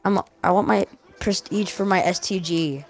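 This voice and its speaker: A woman chatting with friends over a game stream, reacting with giggly, animated outbursts. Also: lowish voice, normal speed, soft background music. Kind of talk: casual gaming banter.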